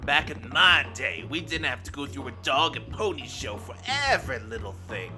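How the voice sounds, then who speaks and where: An actor playing a gruff, bitter, foul-mouthed older mechanic in a game dub, grumbling and sarcastic. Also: male voice, medium pitch, expressive, normal speed, music in the background, natural voice. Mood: irritated, grumbling. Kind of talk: game fandub voice acting.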